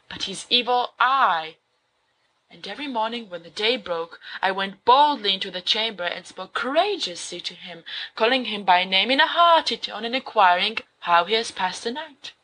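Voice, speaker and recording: A woman voicing a young male wizard student who reads a horror story aloud to his friends, slow and hushed at times. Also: medium pitch, slight background noise, rough recording. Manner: slow, hushed dramatic reading. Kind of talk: audiobook fan fiction reading.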